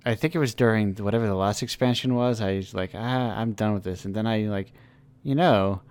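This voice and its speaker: A young male podcast host with a fairly low voice leads a relaxed conversation over a call. He talks easily and at length, circling around his questions in a chatty, informal way. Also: fairly quick, clear, resonant tone. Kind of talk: casual chat over a call.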